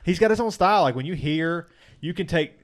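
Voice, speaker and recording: A man's voice: on the high side, animated, fairly quick. A man in his thirties, a co-host on a casual podcast, laid-back and joking, swearing casually. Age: 30s. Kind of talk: casual chat.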